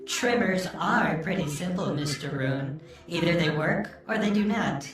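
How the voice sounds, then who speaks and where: A man's voice: fairly high pitch, measured pace, a bit bright. A man playing a patient, deferential shop clerk in a radio serial, polite and formal. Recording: roomy echo.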